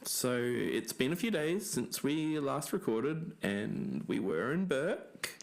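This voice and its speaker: An actor playing a hesitant, low-key podcast interviewer questioning a friend in a naturalistic audio drama. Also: very animated, slow pace, vocal fry, heavily acted. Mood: careful, hesitant.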